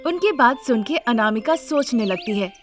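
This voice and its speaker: A woman's voice: on the high side, lively intonation, normal speed, faint music behind. A woman reading a scripted family story as its narrator.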